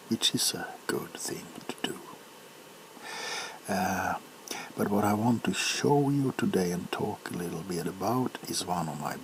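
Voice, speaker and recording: Male ASMR host, deep and slow, hushed rambling chat with hesitations and whispered drops, slight background noise. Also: vocal fry. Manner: hesitant, unhurried, drifting into whispers.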